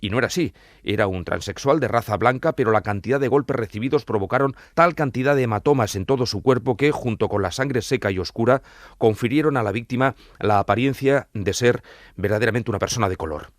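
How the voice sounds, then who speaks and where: A man's voice: medium pitch, fairly quick, no room sound, clean studio sound. A man hosting a podcast with scripted documentary narration.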